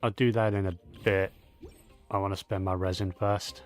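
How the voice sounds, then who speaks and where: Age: adult. Sex: man. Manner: low-key, muttering. Pitch: lowish. Behind: faint game music. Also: little pitch movement, moderate pace. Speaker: gaming channel host. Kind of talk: casual gameplay commentary.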